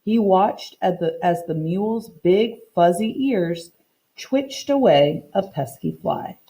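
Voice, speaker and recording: A woman reads a picture book aloud as a teacher would for children. Her voice is mellow, with animated intonation and a deliberate, expressive delivery. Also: fairly low pitch, low-quality recording. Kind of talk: read-aloud lesson for children, storybook narration.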